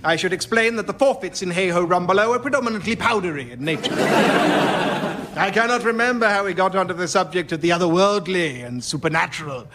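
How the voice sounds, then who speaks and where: An actor playing a pompous, verbose British club bore, telling a mock-Victorian ghost story in radio comedy. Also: male voice, high voice, a little fast. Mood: pompous, droll. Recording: noisy live audience recording.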